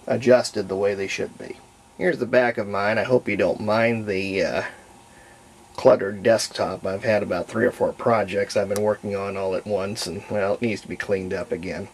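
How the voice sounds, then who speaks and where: A middle-aged American man giving a casual, unscripted show-and-tell as a hobbyist, in a halting, folksy way, often trailing off mid-phrase. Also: fairly low pitch, darkish tone. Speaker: hobbyist presenter demonstrating a homebuilt radio. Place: indoors at a cluttered hobby desk. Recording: faint background noise.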